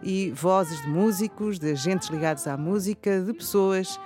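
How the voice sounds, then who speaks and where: A woman's voice: on the low side, measured pace, mellow, soft background music. A woman researcher explaining a project on the radio, thoughtful and a little hesitant. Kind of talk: reflective radio talk.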